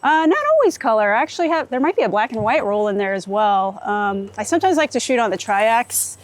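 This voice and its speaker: Higher-voiced American woman, chatty and casual. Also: very animated, fairly quick. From United States, Florida. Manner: chatty, laughs easily. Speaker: photographer being interviewed. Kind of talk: casual chat while out and about. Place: on location in a city, rooftop, car and street. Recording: outdoor city and vehicle noise.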